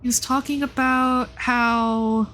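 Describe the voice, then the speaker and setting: A woman's voice: on the high side, slow, poor audio. A woman livestreaming a game, thinking aloud in a casual, reflective way and often interrupting herself. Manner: animated, reflective, self-interrupting. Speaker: live streamer playing and commenting on a game.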